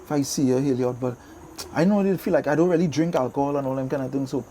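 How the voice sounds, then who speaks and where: A man's voice: medium pitch, quick pace, dark tone, slight background noise. A man with a Trinidadian accent chatting casually as an interview guest, emphatic and repeating phrases to drive his points home. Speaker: guest, radio DJ and soca artist.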